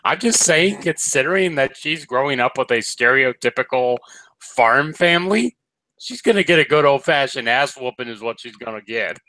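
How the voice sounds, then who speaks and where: A man's voice: medium pitch, expressive, moderate pace, pushed and bright, dry recording, rough recording. A man co-hosting a casual review chat, wry and blunt with jokes. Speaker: co-host.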